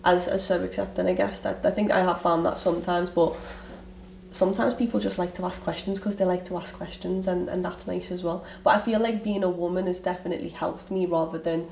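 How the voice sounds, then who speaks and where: A woman's voice: on the low side, little pitch movement, fairly quick, vocal fry, a little mellow. Woman with a Liverpool accent, recorded from afar. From Liverpool, England. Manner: candid, rambling with frequent restarts. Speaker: interviewee. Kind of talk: personal storytelling interview. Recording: roomy, from a distance, faint ambient noise.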